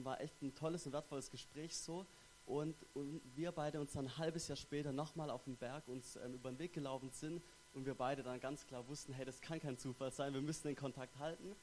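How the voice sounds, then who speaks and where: A man's voice: mid-range voice, a little mellow, slight background noise, poor audio. A young man from Stuttgart with a light Swabian accent, a theology student giving a sermon in a friendly, chatty way with frequent fillers. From Stuttgart, Germany. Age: young adult. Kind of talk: church sermon with personal introduction.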